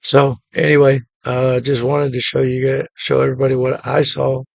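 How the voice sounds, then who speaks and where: A man with a hoarse, gravelly voice from a cough, recapping a day trade in a relaxed, matter-of-fact way and repeating his points. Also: mid-range voice, moderate pace, no echo. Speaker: day trading channel host. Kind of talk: casual screen-share trade recap.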